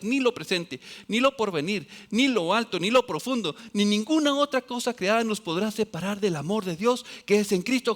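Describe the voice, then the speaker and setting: A man preaching a sermon as pastor, quoting scripture and acting out other people's voices, swinging between loud exclamations and quiet, low asides.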